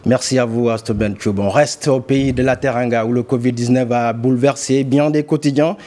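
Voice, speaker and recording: Mellow-voiced male news presenter. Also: mid-range voice, steady tone, moderate pace. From Senegal. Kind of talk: semi-scripted news report.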